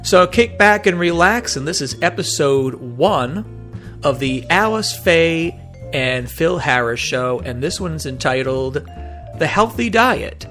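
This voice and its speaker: A man's voice: fairly high pitch, expressive, slow, a bit bright. A man hosting an old-time radio program with a casual, conversational introduction. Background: light guitar music.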